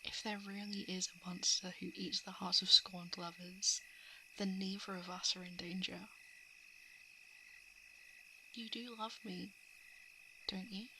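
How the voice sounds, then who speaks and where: A woman playing a shapeshifting monster who poses as a lovelorn crush in an ASMR roleplay, speaking softly and hesitantly, often slipping into whispers. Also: medium pitch, little pitch movement, measured pace, pauses often, noisy background, close to an everyday voice. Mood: tender coaxing. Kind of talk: ASMR roleplay, intimate confession. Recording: night insect and frog ambience. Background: crickets, frogs and insects.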